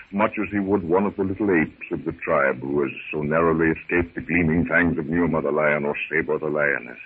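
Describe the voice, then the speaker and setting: Deep, husky vintage radio narrator. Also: male voice. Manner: dramatic, measured storytelling. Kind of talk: 1930s radio serial narration. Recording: very muffled 1930s radio recording.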